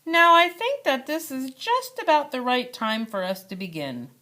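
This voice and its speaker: A woman's voice: high-pitched, very animated, moderate pace, bright, forceful tone, voice pushed up, heavily acted. A woman voicing a playful, teasing, adventurous dad with an eager, theatrical manner in a children's storybook. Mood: commanding. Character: playful, teasing adventurous dad spinning spooky monster tales. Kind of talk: children's storybook read-aloud.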